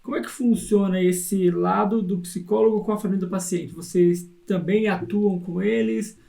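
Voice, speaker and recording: A man's voice: sits high, steady tone, moderate pace, vocal fry, warm tone. A man hosting a podcast interview, asking questions and backing the guest with short agreeing replies. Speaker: podcast host. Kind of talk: conversational interview.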